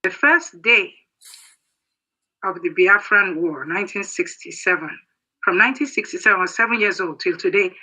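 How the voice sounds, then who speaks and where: A man in his sixties from Nigeria giving an earnest, emphatic prepared speech as a guest, halting now and then. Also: high-pitched, bright, forceful tone, poor audio. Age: 60s. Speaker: guest speaker.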